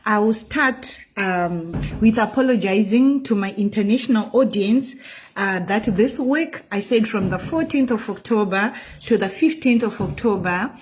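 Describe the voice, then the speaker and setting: A woman's voice: medium pitch, a little hiss or hum, rough recording. A woman from Zimbabwe with a Zimbabwean accent, a native Shona speaker, talking earnestly to camera as a vlogger, with repeated phrases and many fillers, sliding into preaching. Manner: earnest, measured, repetitive phrasing with frequent fillers. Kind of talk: casual direct-to-camera talk turning to preaching.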